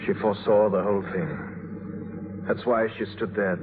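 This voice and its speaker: A man acting a brooding, haunted gentleman husband in an early 1950s radio drama, clipped and intense. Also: fairly low pitch, steady tone, measured pace, natural voice. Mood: haunted, confessional. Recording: noisy, tinny 1950s radio recording.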